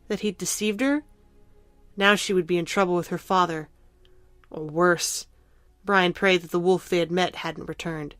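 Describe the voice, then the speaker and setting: Woman narrator, lowish voice, dramatic storytelling, dry and close with soft music under it. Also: no echo.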